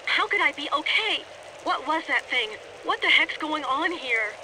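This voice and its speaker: A panicked young woman in a video game, pleading and demanding. Her voice is extremely high and agitated, with clear rainy storm noise around it. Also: expressive, normal speed. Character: frightened, demanding young woman stranded on a rooftop. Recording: noisy rainstorm behind the voice.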